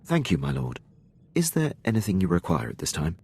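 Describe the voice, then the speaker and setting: An actor playing a dutiful Imperial military officer in a video game, speaking with precise, deferential formality. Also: male voice, sits low, huge pitch swings, fairly quick, darkish tone, slightly rough, full character voice. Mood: stiff annoyance. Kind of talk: scripted video game dialogue.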